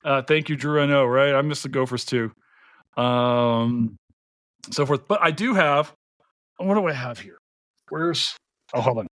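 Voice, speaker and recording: A man's voice: medium pitch, measured pace, pauses often, fairly bright tone, no room sound, studio quality. A man from Florida hosting a casual podcast livestream, joking and self-deprecating as he chats with guests. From Florida, USA. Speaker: podcast host.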